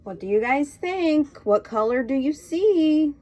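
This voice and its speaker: A woman leading a children's storytime with a fairly high voice that swings widely in pitch. She reads very slowly and expressively, in a sing-song, encouraging teacher's manner, inviting little listeners to join in. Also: animated, darkish tone, muffled recording. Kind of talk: interactive read-aloud to young children.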